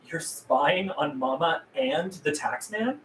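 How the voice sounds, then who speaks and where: A blunt, wary survivor, read by a woman at a table read in a zombie film. The pitch moves around a lot as she questions and bargains. The room is echoey and a bit noisy. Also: animated, slow pace. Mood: wry. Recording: roomy, echoey with room noise.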